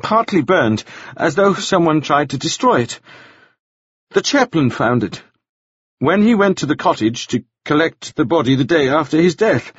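A male narrator lifts his voice high to play a jittery, flustered dandy who stammers and pleads in a thin, anxious tone. His husky voice swings about a lot, heard through a dull, lo-fi recording. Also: sing-song, normal speed, dry recording. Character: nervous, flustered dandy man.

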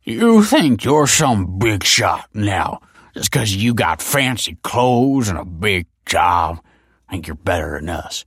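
A man's voice: lowish voice, very animated, slow, fairly bright tone, slightly rough, dry recording, exaggerated voice. Audiobook drunk dad played by a man: slurred, surly, bitter, dragging his words, recorded dry. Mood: belligerent. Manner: surly, belligerent, drawling. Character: drunken, bitter, self-pitying deadbeat father.

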